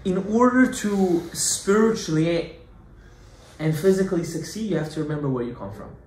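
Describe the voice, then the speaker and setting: A man giving an animated Torah class as a rabbi, emphatic and full of rhetorical questions, mixing Hebrew terms into his English. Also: fairly high pitch, normal speed. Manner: emphatic, rhetorical questions, acts out objections loudly. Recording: echoey room with faint background noise.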